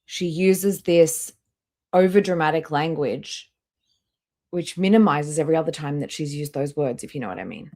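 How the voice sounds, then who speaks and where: A woman's voice: deep voice, lively intonation, moderate pace, warm tone. A woman hosting a live stream with casual, blunt, critical reaction commentary and some swearing.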